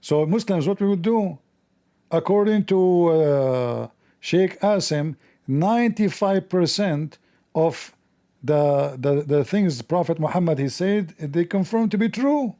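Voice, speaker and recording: A man hosting a commentary show, with a soft, mellow voice. He talks in a sarcastic, mocking way, as if making fun of what he reads out. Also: on the high side, expressive, takes it slowly. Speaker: host.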